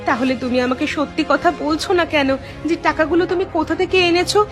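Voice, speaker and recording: Cartoon village wife, played by a woman: high voice, suspicious, stern, emphatic; music underneath. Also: brisk, lightly acted. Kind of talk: Bengali cartoon dialogue dub.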